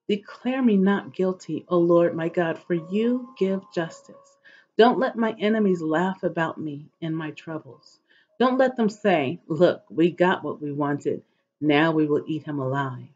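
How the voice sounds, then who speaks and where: A middle-aged woman reading scripture aloud in a measured, solemn, devotional way, with a husky voice. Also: on the low side, darkish tone. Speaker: host of an inspirational reading series. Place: living room at home, indoors. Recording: dull, lo-fi webcam audio.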